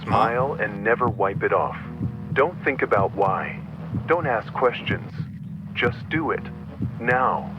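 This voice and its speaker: A man's voice: lowish voice, lively intonation, takes it slowly, noisy background. A man playing the urgent, commanding voice of an analog horror warning, speaking in short clipped sentences. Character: urgent male voice of an emergency warning, grim, commanding. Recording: muffled radio-like lo-fi, music underneath.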